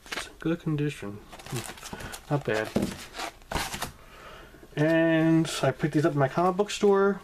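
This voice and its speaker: A man's voice: medium pitch, slight background noise. A man casually talking to camera about his comic book haul, rambling with many ums and restarts, in a room at home. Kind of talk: casual vlog talk to camera.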